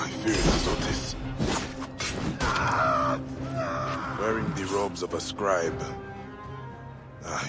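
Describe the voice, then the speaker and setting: Deep-voiced solemn warrior. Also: male voice. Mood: somber, investigating. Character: grim male Egyptian warrior and protector, vengeful yet kind. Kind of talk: video game cutscene acting.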